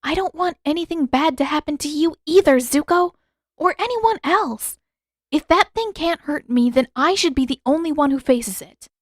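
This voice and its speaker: A woman's voice: high-pitched, animated, moderate pace, dry recording, clean studio sound, pitched above the performer's own voice. A woman voicing a feisty, stubborn teenage girl heroine in a fantasy audiobook, with emphatic, exasperated delivery. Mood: exasperated, earnest.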